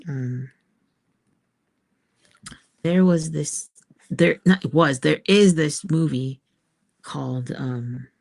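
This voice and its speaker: Woman artist, casual and musing, slow delivery with heavy vocal fry, hesitant pauses. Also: sits low, dark tone. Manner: musing, hesitant, trailing off between phrases. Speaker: artist and author, host. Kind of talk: casual thinking aloud while drawing.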